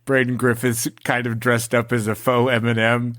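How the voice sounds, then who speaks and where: A young man hosting a podcast in casual chat, playful and full of quick jokey asides. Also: medium pitch, completely flat delivery, a bit bright. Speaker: host.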